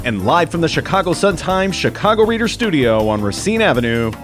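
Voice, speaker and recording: A man working as the show's producer, reading the intro and sponsor list in a brisk announcer manner in a studio. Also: fairly high pitch, big pitch swings. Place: radio studio. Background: organ music.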